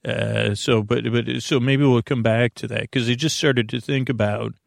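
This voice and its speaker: A man with a creaky voice hosting a bedtime podcast, rambling in a lulling, halting way and often interrupting himself as he drifts into tangents. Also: mid-range voice, fairly even intonation, no echo, studio quality. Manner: meandering, halting, digressive, self-interrupting; soothing, lulling. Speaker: podcast host.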